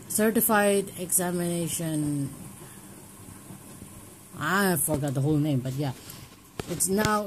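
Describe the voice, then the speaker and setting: A woman's voice: on the low side, a little mellow, a little hiss or hum, poor audio. A young woman from Hong Kong talking casually at home in a personal vlog, rambling and restarting her sentences as she thinks aloud. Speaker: vlogger. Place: home, indoors.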